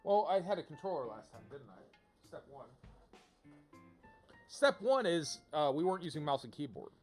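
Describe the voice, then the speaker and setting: A man giving casual livestream commentary to viewers, thinking out loud in an informal, rambling way and often rephrasing himself. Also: a little high, sing-song, moderate pace. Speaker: video game livestreamer, host. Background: music.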